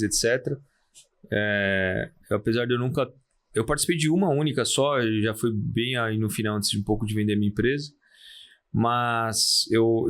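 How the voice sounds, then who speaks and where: Calm Brazilian man, halting speech. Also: mid-range voice. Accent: Brazilian Portuguese. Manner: calm, halting, many restarts and "né?" tags. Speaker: podcast guest, entrepreneur. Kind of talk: casual interview talk.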